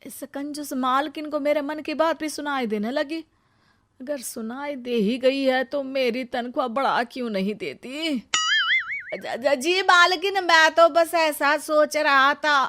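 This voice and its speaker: A woman's voice: high-pitched, slow pace, bright, forceful tone, soft background music, exaggerated voice. A woman voicing a poor middle-aged male servant who grumbles about his pay and stammers when he is caught. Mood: grumbling, then stammering. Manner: pleading, stammering, grumbling under his breath. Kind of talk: cartoon story voice acting.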